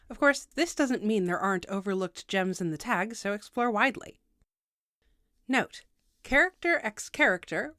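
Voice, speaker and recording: A woman's voice: medium pitch, bright, forceful tone, dry recording. A woman with a mid-range voice narrates a scripted how-to guide. She is precise and instructional, slipping in wry asides. The sound is very dry, with little room to it. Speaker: video tutorial host.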